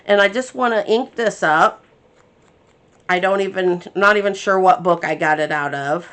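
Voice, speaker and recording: A woman's voice: medium pitch, moderate pace, fairly bright tone. A woman hosting a craft tutorial, chatting casually and thinking aloud as she works, a bit congested from her sinuses. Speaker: crafting channel host.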